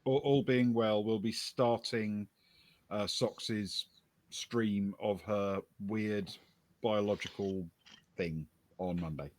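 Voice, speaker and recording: Measured game master. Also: male voice, lowish voice, fairly even intonation, a little mellow. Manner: measured, halting with small restarts. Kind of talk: storytelling recap and stream announcements.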